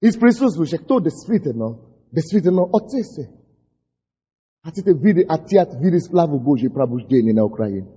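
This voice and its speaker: High, impassioned pastor in an echoing hall. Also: male voice, big pitch swings, normal speed, slightly rough. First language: English. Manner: impassioned, shouting prayers, stumbling over words. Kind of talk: fiery sermon. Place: church hall. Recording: dull recording in a large echoing hall.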